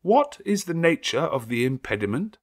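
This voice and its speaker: Audiobook role: solemn, earnest clergyman , acted by a man, formal measured declamation with a lifted, carrying voice. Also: a little high, very animated, pitched above the performer's own voice. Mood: troubled, formal. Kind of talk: audiobook character acting.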